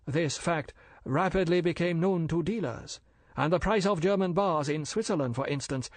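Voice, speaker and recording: A man acts out an older Chinese merchant in an audiobook story. He is bland, suave and endlessly courteous, choosing his formal words slowly and deliberately. The sound is dry and intimate. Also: fairly high pitch, no room sound, voice pushed up, natural voice. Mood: politely informative. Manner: measured, deliberate, formal, politely evasive.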